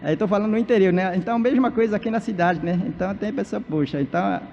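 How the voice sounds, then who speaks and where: A man whose first language is Baniwa, a pastor and missionary from Amazonas, preaching at a church conference in halting speech full of fillers and restarts. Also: sits high, creaky, darkish tone, slight background noise. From Amazonas, Brazil. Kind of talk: sermon at a church leaders' conference. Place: large church hall. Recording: dull, reverberant church PA recording.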